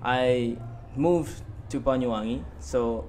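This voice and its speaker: Student podcast guest, male, late teens, from Bali. Mid-pitched, halting casual answers, audible background noise. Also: darkish tone. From Bali, Indonesia.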